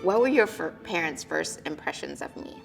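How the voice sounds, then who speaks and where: A woman with a lively, very melodic voice chats playfully and laughs easily. Music plays clearly beneath her. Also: sits low, normal speed, low-quality recording. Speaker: partner in a couples question game. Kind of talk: casual chat.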